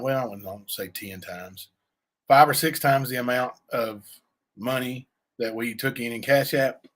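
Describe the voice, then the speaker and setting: A man with a raspy voice, chatting loosely on a livestream and answering viewers, full of fillers and asides. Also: medium pitch, a bit bright. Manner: rambling, many fillers and asides to chat. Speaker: livestream cohost; youth basketball coach. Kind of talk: casual livestream chat.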